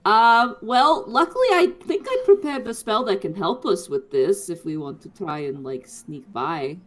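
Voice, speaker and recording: A woman's voice: medium pitch, sing-song, a little slow. A woman playing a tabletop role-playing game, chatting casually in character in a tentative, hesitant way.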